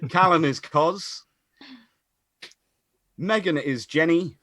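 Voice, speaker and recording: A man's voice: on the high side, drawn-out words, bright, forceful tone, no echo. A man informally introducing a cast at an online event, in a casual, slightly hesitant way.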